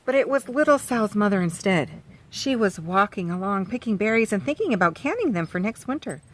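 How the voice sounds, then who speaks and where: A woman reads a children's storybook aloud with a lively, rising and falling voice. She talks gently to young listeners, pausing to point out the pictures as she goes. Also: medium pitch, poor audio. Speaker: children's author reading aloud. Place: farm.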